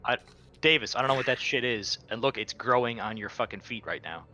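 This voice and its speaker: A man's voice: medium pitch, brisk, pushed and bright, slight background noise. A man chatting casually and profanely as a player in a tabletop game.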